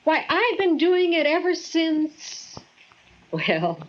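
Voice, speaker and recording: Ancient kindly granny goddess, high swoopy folksy voice, old muffled 40s radio play. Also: female voice, sing-song, takes it slowly, exaggerated voice. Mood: chuckling, folksy. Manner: folksy, chuckling, indulgent. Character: kindly ancient snow goddess, cheerful old grandmotherly woman. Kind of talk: 1940s radio drama acting. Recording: dull, band-limited 1940s radio recording.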